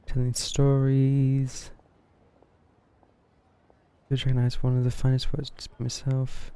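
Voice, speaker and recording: Gravelly, mellow male game streamer. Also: mid-range voice, a little hiss or hum. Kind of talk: casual live game commentary, reading dialogue aloud. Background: game music.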